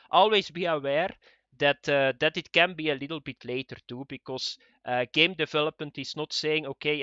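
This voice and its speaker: Bright, halting non-native English-speaking man. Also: a little high, no echo, muffled recording. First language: not English. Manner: halting, many fillers and repeated words. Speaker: gaming video creator. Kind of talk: casual news update to viewers.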